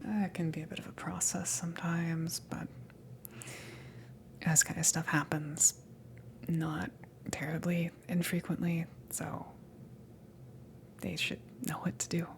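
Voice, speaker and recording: A kind, slightly awkward female alien from a salvage crew, played by a woman, speaking in a low-pitched whisper for an ASMR roleplay. The voice is creaky and breathy, and the delivery is hesitant, rambling and reassuring. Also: level tone, a little slow, long pauses. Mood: casual, reassuring. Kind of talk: ASMR roleplay, improvised-sounding soft talk.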